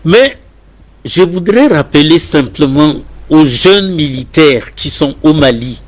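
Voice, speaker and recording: A man with a fairly high, very lively voice comments on politics as a debate panelist. He is deliberate, restating points for emphasis. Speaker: panelist in a pan-African debate.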